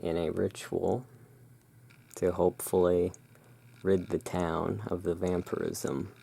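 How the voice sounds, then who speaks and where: A soft-spoken ASMR performer playing the courteous owner of a gothic curiosity emporium, speaking in a hushed, deliberate way. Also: low-pitched, flat delivery, gravelly, slightly rough. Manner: slow, deliberate, hushed sales patter. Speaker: roleplaying shop owner, ASMR host.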